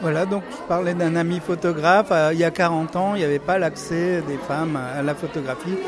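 A man's voice: a little high, fairly even intonation, a little fast. Older guy doing a radio interview, keeps steering, busy crowd behind him. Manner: steering, rephrases questions.

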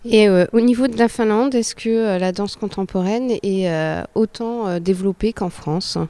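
A woman's voice: mid-range voice, normal speed, warm tone, dry recording. A woman hosting a radio interview, reading an announcement and then asking questions with frequent fillers. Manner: reads intro smoothly, then asks with fillers. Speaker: radio host.

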